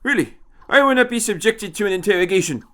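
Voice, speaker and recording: A man voicing an elderly, eccentric time traveller, haughty and impish, with a high, tremulous, querulous voice and a fussy manner. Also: lively intonation, fast talker, sharp tone. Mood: indignant, dismissive. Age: older. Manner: haughty, fussy, hesitant turns of phrase.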